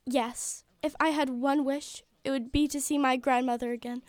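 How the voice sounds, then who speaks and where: Radio play: polite, hopeful little match girl, high-pitched adult woman acts her, earnest, formal delivery, dry close sound. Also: fairly even intonation, moderate pace, a little mellow, no echo, natural voice. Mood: wistful, hopeful. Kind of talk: stage-style radio play acting.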